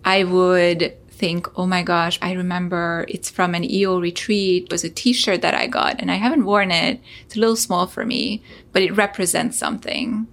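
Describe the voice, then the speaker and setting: A woman's voice: fairly low pitch, level tone, moderate pace, hardly any pauses, fairly bright tone. A woman from Hungary, a startup founder, telling an earnest personal story as a podcast guest.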